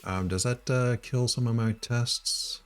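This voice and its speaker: A middle-aged man from Missouri thinking aloud while he writes code, halting with many ums and uhs. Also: mid-range voice, steady tone, clean tone, soft background music. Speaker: live-coding streamer.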